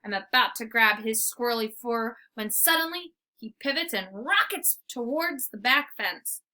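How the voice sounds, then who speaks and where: Animated woman reading a storybook aloud. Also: a little high, measured pace. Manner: animated, theatrical. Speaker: storybook reader. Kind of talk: expressive read-aloud narration.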